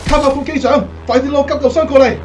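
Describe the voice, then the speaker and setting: A male actor playing a cheeky, excitable young bomber crewman in a radio drama. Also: very high-pitched, quick pace, slight background noise. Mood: urgent, alarmed.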